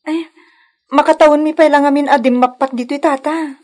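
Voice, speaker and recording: A woman playing a gentle, dutiful young village woman in an Ilocano radio love drama, with an Ilocano accent. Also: high-pitched, steady tone, a little fast, natural voice. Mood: polite, bright.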